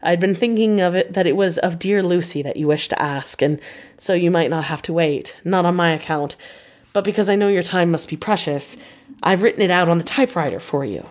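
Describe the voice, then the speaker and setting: A woman's voice: on the low side, a little fast, a little mellow, lightly acted. A woman voicing a polite, modest young married woman in an audiobook, with a demure, courteous and earnest manner. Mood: apologetic, polite.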